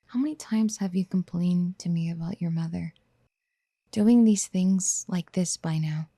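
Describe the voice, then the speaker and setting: A woman playing a possessive, motherly yandere in a soft-spoken ASMR roleplay, gentle and coaxing, often slipping toward a breathy whisper. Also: on the low side, measured pace, no echo, clean studio sound. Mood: soothing, persuasive. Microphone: close studio mic.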